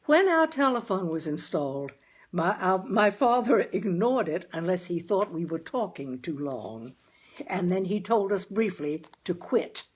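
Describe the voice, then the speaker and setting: Female story reader and host: husky tone, very lively intonation, expressive literary narration. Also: fairly low pitch, measured pace.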